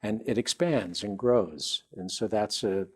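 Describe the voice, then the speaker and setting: A man's voice: on the low side, measured pace, husky. A man who is a guest on a talk show, explaining his ideas in an interview.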